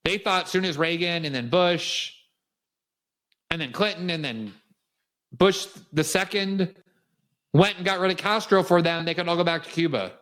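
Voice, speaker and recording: Guy hosting a politics show, kinda high voice, emphatic and cutting, indignant. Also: normal speed, long pauses, a bit bright, rough recording. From South Florida, USA. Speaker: host. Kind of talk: political commentary monologue.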